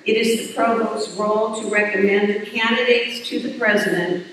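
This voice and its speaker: A woman's voice: a little high, fairly even intonation, normal speed, a bit bright. An older woman speaks from a podium on a large stage, delivering formal commencement welcomes and introductions with a dry, self-deprecating touch. Her voice rings through a big, reverberant hall and sounds set back from the microphone, with a faint hiss underneath. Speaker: university president. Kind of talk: commencement address. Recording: echoey hall, heard from a distance.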